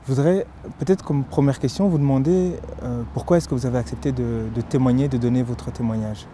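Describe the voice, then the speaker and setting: A man in his thirties interviewing, asking formal questions in a measured, polite way with small restarts. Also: mid-range voice, steady tone, warm tone, a little hiss or hum. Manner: measured, polite, slightly halting. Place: park lawn outdoors.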